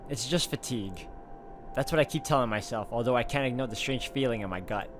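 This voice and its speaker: A man's voice: medium pitch, fairly quick, noisy background. A man reading a visual novel's narration aloud in a dramatic way, with sarcastic asides. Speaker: video game player reading narration aloud.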